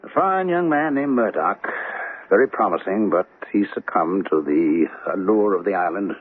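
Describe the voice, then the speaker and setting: A courtly, middle-aged bachelor banker in a radio play. His delivery is measured and formal yet very lively in pitch, with a slightly rough, husky tone. The sound is the thin, narrow band of an old radio broadcast. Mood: grave, cautioning. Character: genial, courtly fifty-seven-year-old bachelor banker settled in Honolulu. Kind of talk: radio drama acting.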